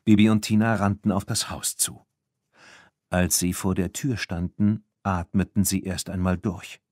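A man's voice: low-pitched, little pitch movement, a little slow, a little mellow, no room sound, studio quality. A man narrating a scripted children's story as an audiobook reader.

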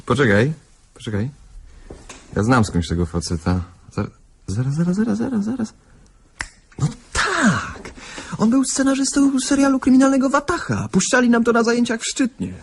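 An actor playing a blunt, excitable police detective in a radio drama, colloquial and repetitive. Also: male voice, very high voice, moderate pace, gravelly, a little hiss or hum. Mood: curious, excited. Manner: colloquial, repeats words when excited.

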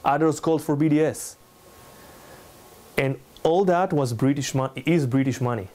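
A man in his thirties with an Israeli accent, lecturing in halting but emphatic non-native English. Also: fairly high pitch, mellow. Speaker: lecturer, NGO researcher. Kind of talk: public lecture with slides. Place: indoor salon room, speaking to a small audience.

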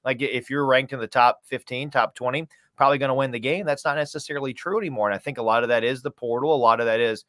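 A man's voice: medium pitch, steady tone, quick pace, hardly any pauses, a bit bright, no room sound, clean studio sound. A man in his thirties, a sports announcer, chatting casually as an interview guest with conversational emphasis. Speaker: radio play-by-play announcer as guest. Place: small room, indoors, video call.

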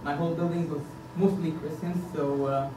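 A man in his thirties, raised in Egypt, presents himself at a podium in an earnest, halting way, filling pauses with "uh". His somewhat high voice is captured from a distance, so the room's echo and a steady hiss come through clearly. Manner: hesitant, many fillers, earnest. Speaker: board candidate. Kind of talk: campaign presentation. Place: meeting room indoors, at a podium. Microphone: far camera. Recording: echoey room from a distance, background hiss.

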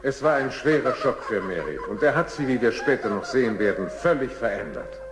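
A man voicing a wise, reflective jungle animal who narrates a children's radio play in an expressive, measured way. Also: medium pitch, in a large hall, lightly acted. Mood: grave, reflective. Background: music.

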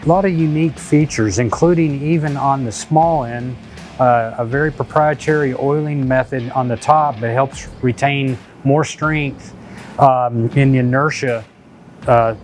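A man's voice: medium pitch, a little mellow. A man in his fifties, a company director, explaining a product in technical detail with fillers and restarts, in an indoor lab. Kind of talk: corporate product video talk. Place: indoor inspection lab with machines. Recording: faint music behind.

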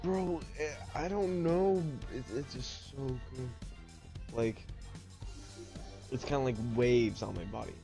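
A man's voice: medium pitch, slow pace, vocal fry, long pauses, warm tone, soft background music. A young man in a gaming voice chat who rambles and stumbles, repeating his words on a headset mic. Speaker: friend in voice chat.

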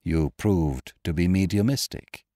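A man playing a grave, composed doctor who questions firmly in a stern, measured, formal way for a storybook. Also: deep voice, warm tone, no room sound, studio quality.

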